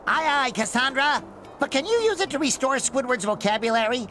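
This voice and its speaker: A man voicing a cheerful, naive young sea sponge hero in a cartoon game, with a squeaky nasal voice and an earnest, excitable manner. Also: brisk, soft background music, exaggerated voice. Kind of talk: animated cartoon game voice acting.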